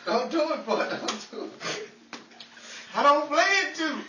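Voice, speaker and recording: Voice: high voice, animated, slow pace, heavily acted. A performer playing a stubborn, indignant fan of 1950s starlets in radio comedy style. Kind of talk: old-time radio comedy acting. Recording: roomy echo, distant mic, faint noise.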